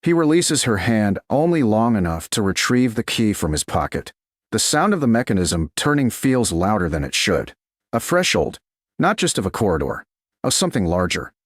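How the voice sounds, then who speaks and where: A man narrating a scripted romance story in a measured, dramatic way, in short clipped phrases. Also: on the low side, sing-song, dry recording, studio quality. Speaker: audiobook narrator.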